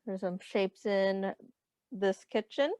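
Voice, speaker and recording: A woman's voice: medium pitch, slow pace, a little mellow, smooth, no echo, lo-fi sound. A woman reading a picture book aloud as a teacher, explaining simply to young children and asking them questions. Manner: simple instructive phrasing aimed at young children.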